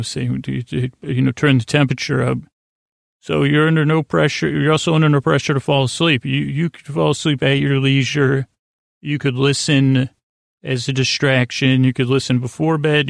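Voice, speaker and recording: Male sleep-podcast host, creaky mid-pitched voice, halting and rambling bedtime delivery, very dry sound. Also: flat delivery, no echo. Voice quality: creaky, gravelly. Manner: meandering, halting, stumbling over words, trailing into tangents. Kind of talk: rambling bedtime monologue to lull listeners to sleep.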